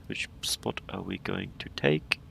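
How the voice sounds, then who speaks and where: A man with a very deep voice and a strong gravelly fry talks casually through a simulated flight. He calls out flaps, speeds and checklist items one by one and drops light, playful asides along the way. A faint background hum sits under his voice. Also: animated, unhurried, a bit bright. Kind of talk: casual gameplay commentary.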